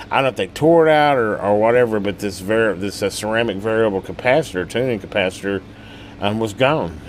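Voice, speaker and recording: A man giving a casual, rambling workbench demonstration in a folksy, colloquial way, like a hobbyist showing a repair. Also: on the low side. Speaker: radio repair hobbyist and channel host. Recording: steady background hum and noise.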